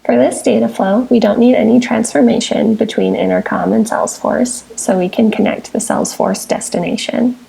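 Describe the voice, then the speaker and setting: Husky, creaky woman narrating a software tutorial. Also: on the high side, steady tone, normal speed, few pauses, rough recording. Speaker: software tutorial presenter, technical support engineer. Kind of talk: scripted step-by-step screencast narration.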